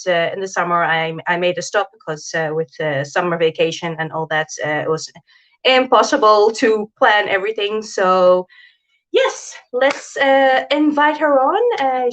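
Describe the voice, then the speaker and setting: A woman's voice: mid-range voice, a bit bright. A woman hosting a casual live interview over a video call, chatty and hesitant with many fillers. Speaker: host. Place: home, early morning.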